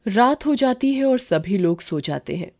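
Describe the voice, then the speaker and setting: A woman's voice: mid-range voice, expressive, measured pace, darkish tone. A woman narrating a scripted horror tale in storybook style. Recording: music behind.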